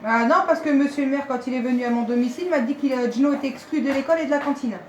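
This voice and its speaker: A woman's voice: high-pitched, rapid-fire. A woman on a phone call, speaking as an aggrieved parent in an insistent, detailed way. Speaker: parent of a pupil. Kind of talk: phone conversation, recounting a complaint. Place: recorded telephone call. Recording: echoey phone call recording, faint noise.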